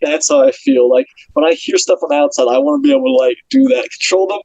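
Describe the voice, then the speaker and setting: A young man, a pro boxer, talking casually and upbeat in a podcast interview, in quick animated bursts. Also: very high voice, vocal fry, no echo, muffled recording.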